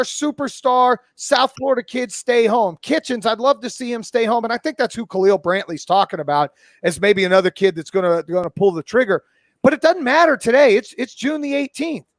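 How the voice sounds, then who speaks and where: A man in his forties with a notably high-pitched voice, recorded dry without room sound. He talks sports like a pundit in casual conversation. He is blunt and opinionated, and swears freely. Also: animated, brisk, fairly bright tone, dry recording. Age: 40s. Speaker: college football pundit and podcast guest.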